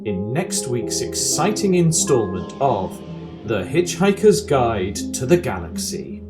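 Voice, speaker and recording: A man's voice: mid-range voice, sing-song, takes it slowly. A man playing a mock-suspenseful narrator, reading the scripted cliffhanger of a radio serial. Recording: loud music behind voice.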